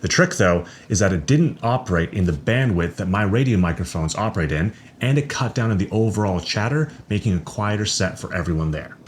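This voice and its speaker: A man's voice: lowish voice, animated, a little fast, few pauses, slight background noise. A man who works as a sound recordist, narrating a scripted product review.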